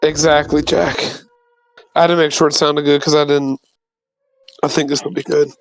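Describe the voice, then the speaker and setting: A man's voice: on the high side, level tone, moderate pace, poor audio. Easygoing young male player. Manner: short, easygoing replies. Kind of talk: casual chat in a tabletop game.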